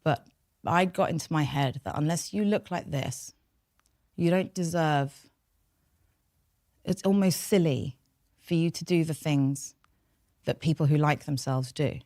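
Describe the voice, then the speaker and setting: A woman's voice: low-pitched, measured pace, pauses often, no echo, studio quality. A woman with a London accent whose first language was Farsi, speaking as an interview guest in a frank, reflective way. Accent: London English. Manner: reflective, frank, steady flow of long sentences. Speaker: podcast guest, behaviour change scientist. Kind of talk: candid interview storytelling.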